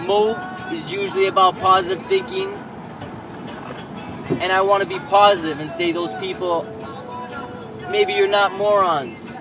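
Man, thirties, high voice, lecturing rant from the driver's seat, dash cam, music under it. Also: animated, unhurried, long pauses, rough recording. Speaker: vlogger. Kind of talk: ranting vlog while driving. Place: inside a moving car. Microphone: camera mounted on the dashboard.

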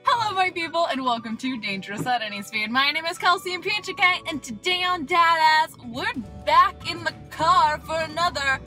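A woman in her twenties chatting casually and playfully to camera as a vlogger, animated and exclamatory, recorded inside a car. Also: very high-pitched, normal speed, few pauses, music in the background. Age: 20s. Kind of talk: casual solo vlog chat.

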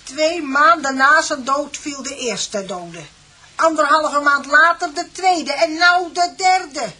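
A woman's voice: high-pitched, sharp tone, a little hiss or hum, exaggerated voice. A woman playing an uneasy, superstitious farm landlady in a radio drama, giving hesitant, ominous warnings.

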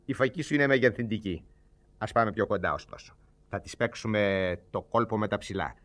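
A man's voice: lowish voice, fairly bright tone, lightly acted. A scheming older gang boss, played by a man in a theatrical radio comedy. He blusters and scolds his apprentices with pompous, exasperated authority, often repeating himself. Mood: conspiring. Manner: exasperated, pompous lecturing with stammered repetitions.

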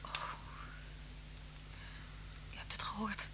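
A woman's voice: slow pace, whispered, lightly acted. An actress playing a kind, frightened woman in a Dutch radio play, hesitant and anxious, stammering slightly. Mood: uneasy, puzzled. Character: kind, frightened woman facing a wanted man. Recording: hum and hiss of old radio recording.

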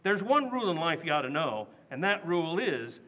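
A man acting a loud, indignant, stingy working-class father in an old-time radio comedy recreation. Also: a little high, very animated, a little fast, lo-fi sound. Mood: blustering. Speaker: radio actor.

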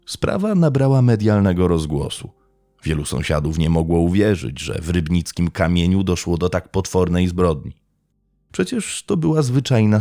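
Deep gravelly male crime narrator. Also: dark tone, no room sound. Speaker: true crime podcast host and narrator. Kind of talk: scripted true crime narration.

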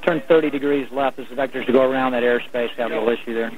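A man's voice: mid-range voice, steady tone, very fast. A man working as an air traffic controller, giving clipped procedural instructions over the radio. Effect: radio transmission. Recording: narrow-band, noisy air traffic radio.

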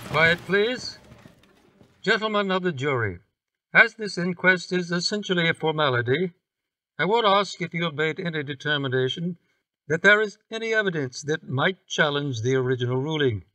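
A man's voice: fairly high pitch, animated, normal speed, pushed and bright, poor audio. An actor playing an authoritative judge in a radio drama, formal and commanding in his delivery. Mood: officious. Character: brisk, authoritative judge presiding over an inquest. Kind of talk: old-time radio drama acting.

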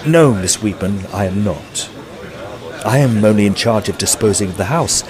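A man's voice: fairly low pitch, animated, moderate pace, crowd noise, natural voice. A man playing an earnest, polite Edwardian estate agent who narrates a mystery in an audio drama. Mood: hesitant, apologetic. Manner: formal, polite. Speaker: narrator and character.

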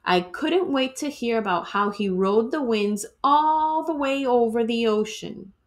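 A woman reading a children's storybook aloud in an expressive, dramatic way, like a teacher to students. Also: fairly high pitch, unhurried.

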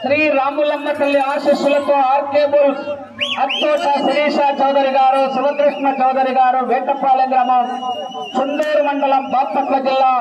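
A man giving excitable live bull race commentary, shouting out announcements and hype cries. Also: extremely high pitch, normal speed, highs cut off. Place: outdoors at a race ground, crowd around. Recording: distant echoey outdoor PA, loud crowd noise.

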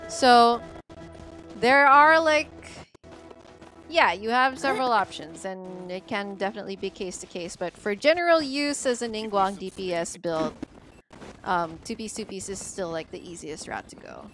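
A woman's voice: medium pitch, lively intonation, normal speed, fairly bright tone, faint music behind, poor audio. A young adult woman from the Philippines chatting casually to viewers on a game livestream in a rambling, chatty way. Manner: chatty, rambling, filler-heavy with frequent "like". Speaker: game streamer.

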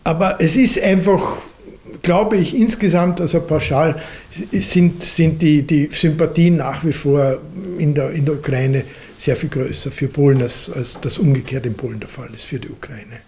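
A man in his seventies with an Austrian accent, answering questions as a lecturer in a deliberate way, often adding questioning tags. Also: fairly high pitch, steady tone, dark tone. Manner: deliberate, hedging, often adds a questioning "nicht?". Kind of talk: unscripted answer after a lecture. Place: library lecture room, at a lectern. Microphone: lectern microphone.

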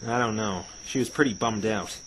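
A man's voice: lowish voice, normal speed, background noise, close to an everyday voice. A man playing an earnest, plain-spoken, wry male defense lawyer in conversational game-style dialogue. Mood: uneasy, thinking. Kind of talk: fan dub of visual novel game dialogue. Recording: background music under the voice.

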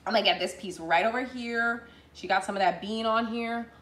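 A woman's voice: a little high, brisk, a bit bright, slight background noise. Bubbly woman cooking in an echoey kitchen. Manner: bubbly, chatty, calls viewers y'all. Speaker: cooking show host. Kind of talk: live cooking demo, casual chat. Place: home kitchen, indoors. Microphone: fixed camera at counter distance.

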